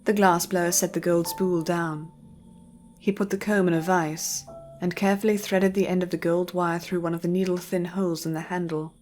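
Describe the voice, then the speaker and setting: A woman narrating a scripted story in a measured, literary storytelling manner. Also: on the low side, flat delivery, a little mellow, faint music behind.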